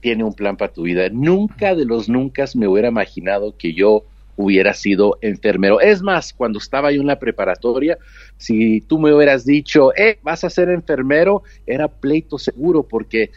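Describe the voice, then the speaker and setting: A man's voice: medium pitch, lively intonation, normal speed, a little mellow, no echo. Earnest male nurse, English native with a Mexican accent, heard over a dull, dry remote line. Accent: Mexican Spanish. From United States, raised with Mexican family. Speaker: guest, nurse and author. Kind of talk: storytelling interview answer.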